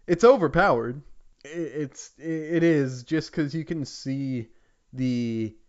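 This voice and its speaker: A man's voice: fairly high pitch, slow pace. A man co-hosting a podcast in casual gaming chat, heard on a dull, lo-fi recording. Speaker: podcast co-host.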